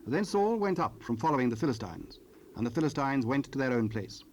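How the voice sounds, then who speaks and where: Casting: male scripture narrator, mid-range, solemn and measured, old cassette noise with ambient effects behind. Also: mid-range voice, big pitch swings. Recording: noisy cassette rip, ambient sound.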